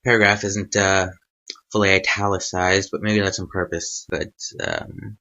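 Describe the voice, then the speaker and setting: A man's voice: deep voice, steady tone, measured pace, vocal fry, pushed and bright, highs cut off. A man giving an informal spoken review as a host, rambling and hesitant as he thinks aloud. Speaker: host of a fanfiction reading series.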